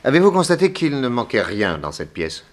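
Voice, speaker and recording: An actor playing a calm, methodical detective in a 1960s French radio drama, probing with deliberate courtesy. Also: male voice, medium pitch. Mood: reasoning. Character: calm, methodical male detective questioning a witness.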